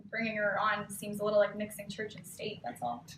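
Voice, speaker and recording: A woman's voice: mid-range voice, monotone, brisk, lightly acted. A woman playing an efficient, dryly cynical younger producer in a live table read. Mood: dry, needling. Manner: dry, sardonic. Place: cramped bookstore event, live. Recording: echoey live bookshop, clearly noisy.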